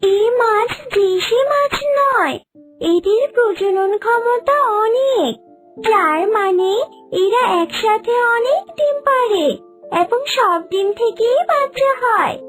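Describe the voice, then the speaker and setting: A performer voicing a kind magical talking fish in a children's cartoon, with a gentle, measured delivery. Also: extremely high pitch, lively intonation, soft background music, heavily acted. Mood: reassuring, instructive. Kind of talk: children's cartoon story dialogue.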